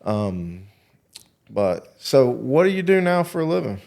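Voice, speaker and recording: A man's voice: mid-range voice, very animated, a little slow, gravelly, a little mellow. A man in his late thirties hosting a casual interview, chatting in a folksy, slangy way. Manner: folksy, slangy, says "dude" and "bro". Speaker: show host interviewing a guest. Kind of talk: casual chat.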